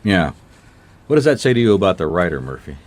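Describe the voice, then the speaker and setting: A man's voice: deep voice, big pitch swings, fast. A man playing a laconic, dogged private detective in a noir radio mystery, casual, wry and probing.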